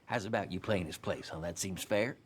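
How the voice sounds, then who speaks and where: An actor playing a pushy, needling card player in an Old West video game. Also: male voice, deep voice, a little fast, breathy edge.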